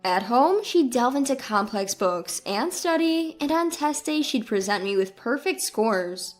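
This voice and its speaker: A woman's voice: on the high side, big pitch swings, measured pace, faint music behind. A woman reading a scripted first-person family story as its narrator.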